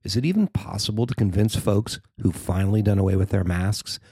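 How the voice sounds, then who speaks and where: An American man narrates a written news story in a fairly low voice. The sound is very dry and close, with no room around it, and the delivery is that of a reporter reading copy. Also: a little fast, warm tone, husky, no echo.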